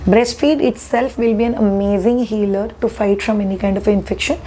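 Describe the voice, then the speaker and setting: A woman with an Indian English accent and a slightly hoarse voice, explaining things to parents in an earnest, reassuring way, asking questions and answering them herself. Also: mid-range voice, fairly even intonation, brisk, warm tone, slight background noise. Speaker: parenting educator.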